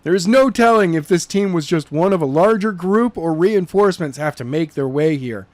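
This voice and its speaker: A man's voice: a little high, lively intonation, normal speed, no echo, pitched above the performer's own voice, lightly acted. A man reading the lines of an alert, protective young man in a raised, urgent voice.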